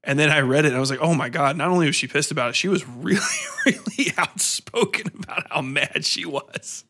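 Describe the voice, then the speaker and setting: Bright, energetic male podcast host. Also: fairly high pitch, fairly quick. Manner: informal, energetic, sweary. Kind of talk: casual podcast chat and sign-off.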